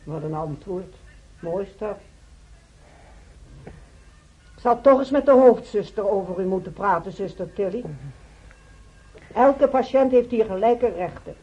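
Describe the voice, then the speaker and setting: A husky, peevish woman patient. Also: medium pitch, animated, normal speed, dark tone. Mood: offended muttering. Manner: grumbling, indignant, sarcastic. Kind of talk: Dutch radio play acting. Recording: dull radio drama recording.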